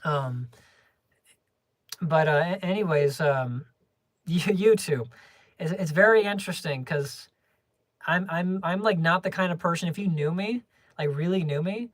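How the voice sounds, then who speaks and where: A young adult livestreamer chatting casually with viewers, rambling through many restarts and small laughs in a low-key, homebody way. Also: measured pace, long pauses, dry recording.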